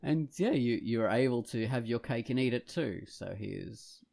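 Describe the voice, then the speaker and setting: A man's voice: medium pitch, expressive, moderate pace, vocal fry, a little mellow. A man talking casually as a podcast co-host, hesitant and rambling as he thinks aloud. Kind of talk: casual discussion of films and comics.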